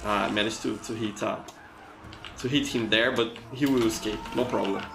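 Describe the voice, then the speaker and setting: A man's voice: lowish voice, fairly even intonation, moderate pace, creaky, noisy background. A man from Brazil with a Brazilian accent, whose first language is Portuguese, chatting casually with viewers on a live gaming stream. He talks in a chatty, encouraging way and often repeats words as he goes. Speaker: live streamer playing a shooter game. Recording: roomy echo with game music behind.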